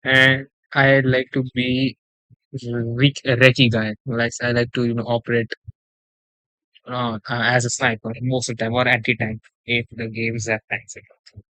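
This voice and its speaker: A young man from India hosts a casual gaming podcast and often stumbles and restarts his sentences. His delivery is flat, with little rise and fall in pitch. The recording is dry and close. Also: unhurried, no echo, poor audio. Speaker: podcast host. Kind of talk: casual chat.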